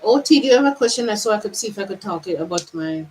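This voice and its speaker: A woman vlogger from Jamaica speaks straight to camera in a loose, unscripted livestream. Her voice sits in an ordinary middle register. She talks haltingly, restarting her phrases and drawing words out as she thinks aloud. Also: medium pitch, lively intonation, darkish tone, a little hiss or hum, poor audio. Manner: halting, with frequent restarts and stretched, slowed phrases. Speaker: spiritual vlogger. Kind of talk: unscripted livestream musing to viewers. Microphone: camera mic.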